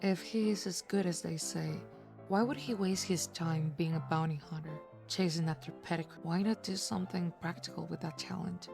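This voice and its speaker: A woman's voice: lowish voice, fairly even intonation, moderate pace, vocal fry, over music. A woman playing a cool, evasive, commanding gunslinger in a Western game, terse and probing. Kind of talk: visual novel character acting.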